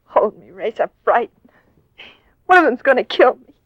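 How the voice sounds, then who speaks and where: High, wildly swooping frightened woman. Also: very animated, normal speed, dry recording, dull audio. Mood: frightened, pleading. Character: frightened, pleading young woman in danger. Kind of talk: 1940s radio melodrama acting. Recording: old radio recording with noise.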